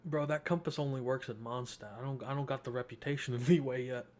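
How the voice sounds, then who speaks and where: A man's voice: mid-range voice, fairly even intonation, a little fast, darkish tone. A young man giving casual, slangy, joking let's-play commentary as a gaming streamer.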